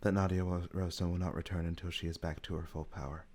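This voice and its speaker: A man voicing a composed, courteous woman who leads a witch coven in a tabletop game, formal and measured. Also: sits low, level tone, darkish tone, slight background noise, natural voice. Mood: solemn.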